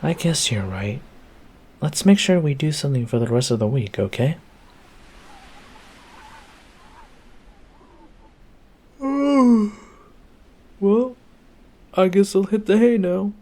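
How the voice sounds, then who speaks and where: Man acting as an affectionate boyfriend, lively intonation, slightly husky. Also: high-pitched, measured pace, pauses often. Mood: sleepy, affectionate. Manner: tender, casual, addresses listener directly. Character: affectionate easygoing boyfriend home from work on a snowy night. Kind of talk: intimate roleplay audio acting.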